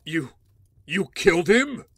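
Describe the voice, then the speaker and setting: A man's voice: high-pitched, very animated, slow, a bit bright, husky, no room sound, heavily acted. An actor playing a brooding, resolute rich heir in an audio drama, earnest and accusing as he speaks of justice. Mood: anguished, outraged. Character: brooding, intense wealthy male heir bent on justice.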